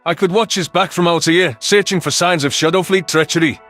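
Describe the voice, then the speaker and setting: Male audiobook narrator for sci-fi fiction, fairly high voice, music underneath. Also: a little fast, fairly bright tone. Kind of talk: scripted sci-fi story narration in first person.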